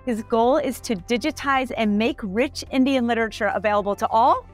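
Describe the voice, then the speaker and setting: A woman hosts a relaxed interview chat and keeps it upbeat and friendly. Her voice sits a little high. Faint music plays underneath. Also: a little fast. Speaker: host.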